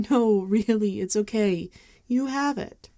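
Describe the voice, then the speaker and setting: A woman playing a caring, apologetic female vampire friend in an intimate ASMR roleplay, speaking gently and hesitantly. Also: mid-range voice, no room sound, studio quality. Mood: caring, reassuring. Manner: hesitant, gentle, halting with soft sighs. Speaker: ASMR voice actor.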